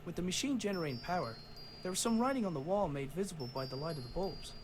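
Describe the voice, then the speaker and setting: An actor playing a wry, gentle inventor's son who narrates his thoughts in an adventure game. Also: high-pitched, expressive, quick pace, music in the background. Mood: wry, curious.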